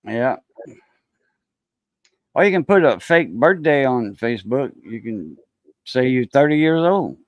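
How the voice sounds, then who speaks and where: A man's voice: medium pitch, big pitch swings, measured pace, pauses often, dry recording, lo-fi sound. Laid-back man about sixty. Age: 50s. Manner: laid-back, laughs easily, many fillers. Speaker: live stream host. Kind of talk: casual chat.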